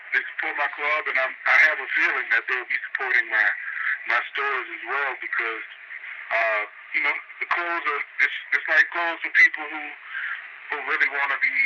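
A man who owns stores and a nightclub, a guest on the radio, talking in a laid-back, halting way full of fillers. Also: medium pitch, muffled recording, noisy background. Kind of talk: casual radio interview.

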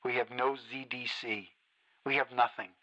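A frantic radio DJ ranting. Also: male voice, sits high, moderate pace, bright, forceful tone, lo-fi sound, exaggerated voice. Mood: despairing. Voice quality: ranting, pleading. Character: frantic male radio DJ in a zombie outbreak. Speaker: radio host. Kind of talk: radio drama acting.

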